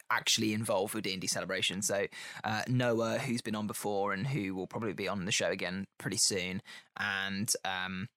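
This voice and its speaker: A man hosting a casual podcast chat, friendly and talkative, his voice a little croaky because he is unwell. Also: low voice, bright, forceful tone, no echo, studio quality. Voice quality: slightly croaky. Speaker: podcast host.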